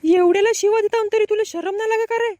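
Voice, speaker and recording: A man voicing an angry, sharp-tongued village woman with a rural Marathi accent in a comic cartoon, scolding. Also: very high-pitched, fairly even intonation, fast, no echo. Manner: scolding, cursing.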